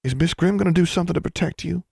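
A man voicing a gentle, anxious teenage country girl in an audiobook, with a rural Southern US accent and homespun, old-fashioned dialect. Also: high-pitched, rapid-fire, vocal fry, slightly rough, no echo, clean studio sound, voice pushed up, full character voice. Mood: worried. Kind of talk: audiobook character dialogue.

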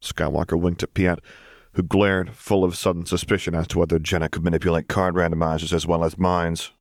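A man's voice: sits low, flat delivery, a little fast, vocal fry, no room sound, studio quality. A man reading scripted fiction aloud as an audiobook narrator.

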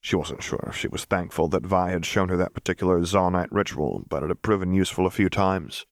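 A man's voice: deep voice, steady tone, brisk, no room sound, clean studio sound. A man reading fiction aloud as scripted audiobook narration. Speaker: audiobook reader.

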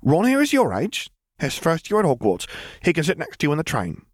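A bustling mother of many children chats in a motherly way, as a male audiobook reader puts her on. The voice is lifted above a man's usual low range and sounds dry. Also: wildly swooping pitch, brisk, no room sound. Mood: friendly. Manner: chatty, motherly.

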